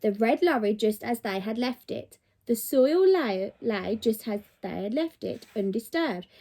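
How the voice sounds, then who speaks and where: A woman's voice: on the high side, expressive, a little slow, warm tone. A woman reading a children's story aloud like a teacher to her pupils, expressively and with dramatic emphasis on key words.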